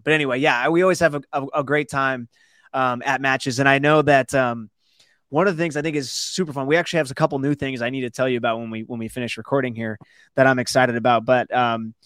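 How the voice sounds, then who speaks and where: A man's voice: mid-range voice, fairly bright tone, no echo. A man hosting a podcast, chatting casually and rambling a little as he interviews his guest. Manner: conversational, rambling. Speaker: podcast host.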